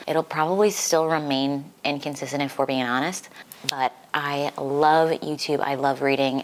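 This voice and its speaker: A young woman with a low voice talks casually to her viewers as a book vlogger. She sounds a little stuffed up from a recent flu, and her delivery is informal and giggly, peppered with "like". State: recovering from flu, slightly congested. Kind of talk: casual chatty life-update vlog.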